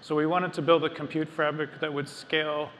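A middle-aged man presenting to a live audience on a large stage, explaining in a measured way with some ums and restarts. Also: fairly high pitch, flat delivery, fairly bright tone, slight background noise. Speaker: engineering lead presenting on stage. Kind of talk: technical keynote talk. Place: large dark stage before a seated audience. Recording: long hall reverb, faint ambience.

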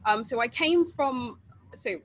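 A woman's voice: high-pitched, unhurried, a bit bright, a little hiss or hum. A middle-aged woman from England telling her story in a casual, chatty way, with many fillers and laughs, over a webcam video call. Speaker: interviewee. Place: outdoor porch at night.

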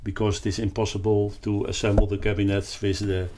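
An older man with a Dutch accent explains a product off the cuff, haltingly searching for words. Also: lowish voice, level tone, background noise. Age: 60s or older. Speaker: loudspeaker designer presenting his product. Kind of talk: unscripted explanatory product talk. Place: carpeted, wood-panelled listening room indoors.

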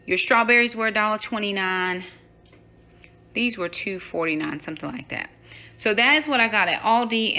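A woman's voice: medium pitch, sharp tone. A woman in her thirties at home, chatting through a grocery haul and listing each item and its price. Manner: lists items and prices item by item, chatty asides. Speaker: vlogger, mom. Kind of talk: casual grocery haul chat. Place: home kitchen counter.

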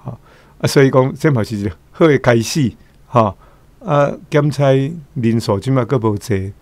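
A man's voice: medium pitch, moderate pace, no room sound. An older man with a Taiwanese accent, giving informal commentary and often tagging his phrases with little particles. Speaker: commentator, church elder.

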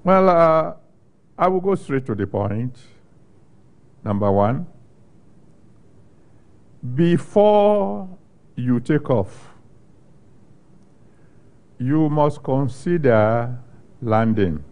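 An older male pastor reads scripture aloud and then preaches, taking his time over every phrase. His delivery is unhurried and measured, with long pauses between short phrases. His voice sits at an ordinary male pitch, and he speaks into a handheld microphone. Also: very animated, a little mellow. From Nigeria. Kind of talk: sermon with scripture reading. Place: studio stage with a large screen behind.

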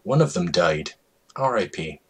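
A man giving a mock-dramatic reading of a comedic story in an over-the-top, deliberately absurd way, drawing out words. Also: deep voice, big pitch swings, breathy edge.

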